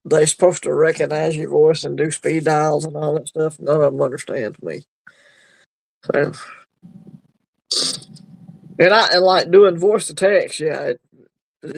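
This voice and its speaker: A man hosting a casual livestream chat, rambling and easygoing, quick to laugh. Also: fairly high pitch, gravelly, a little mellow, no room sound, rough recording. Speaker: livestream host.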